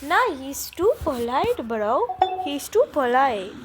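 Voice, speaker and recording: A woman's voice: sits high, very animated, slow pace, heavy background noise. A woman doing casual gameplay commentary, reacting in an offhand, slangy way. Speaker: gaming streamer reacting. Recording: loud game music behind the voice.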